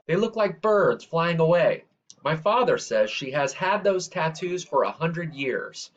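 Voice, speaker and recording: A man reading a children's story aloud in a measured, expressive way, drawing out the ends of phrases. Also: fairly high pitch, lo-fi sound. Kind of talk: read-aloud scripted narration.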